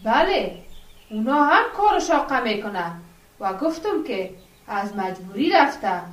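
A woman playing an earnest, gently firm village schoolteacher who explains things didactically in a radio drama. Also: fairly high pitch, big pitch swings. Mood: explaining. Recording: echoey room, distant from mic.

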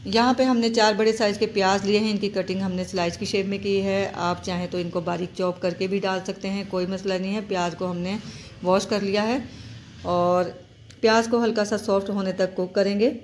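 A woman hosting a home cooking channel, calmly narrating each recipe step and repeating key points. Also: medium pitch, fairly quick, slight background noise. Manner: steady, instructive, repeats steps.

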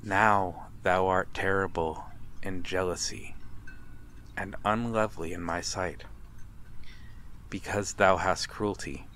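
A man playing a courteous, melancholy vampire in a dramatic ASMR roleplay, speaking formally and with measured pauses. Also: low-pitched, a bit bright. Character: courteous, melancholy male vampire, lonely and mysterious. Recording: clear background ambient noise. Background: night harbor ambience.

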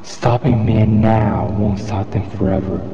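A man reciting a poem in a solemn, measured, declamatory way. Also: fairly even intonation, a crowd in the background. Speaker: poetry reader. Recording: muffled lo-fi with loud background rumble.

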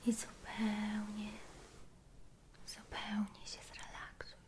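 Very slow whispering woman, ASMR style. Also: mid-range voice, monotone. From Poland. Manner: hushed, soothing, slow, with gentle repetitions. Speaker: ASMR creator, reiki session guide. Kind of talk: ASMR guided relaxation, soft-spoken and whispered.

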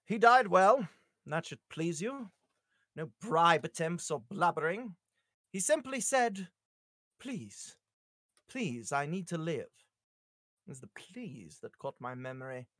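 A man's voice: fairly high pitch, very animated, slow pace, pauses often, pushed and bright, no room sound, studio quality, exaggerated voice. A man playing a sardonic, bitter yet devoted masked swordsman in a screenplay table read. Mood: cold, mocking.

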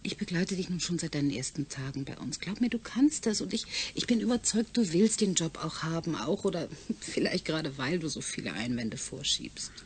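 An actress playing a persuasive doctor in a radio drama, coaxing and reassuring like a familiar colleague. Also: female voice, low voice, animated, normal speed, hardly any pauses, a little hiss or hum. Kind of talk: German science fiction radio drama acting.

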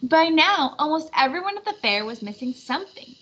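Animated higher-pitched woman reading a storybook. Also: fairly quick. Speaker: storybook reader. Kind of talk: animated children's read-aloud narration. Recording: slightly muffled, faint background noise.

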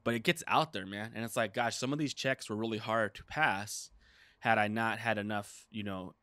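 Chatty man, deep-ish voice, dry studio. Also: moderate pace, dry recording. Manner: rambling, many "like" fillers, animated retelling. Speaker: podcast co-host. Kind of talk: casual chat. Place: small studio room with dark curtain backdrop. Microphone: desk mic on boom arm.

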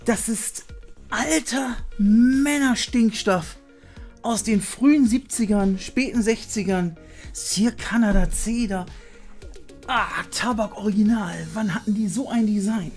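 A man's voice: high voice, slow pace, darkish tone, faint music behind. A man hosting a retro video show in a room full of collectibles, joking about himself and reading his facts in a casual way. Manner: jokey, self-mocking. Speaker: host. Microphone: studio mic on arm.

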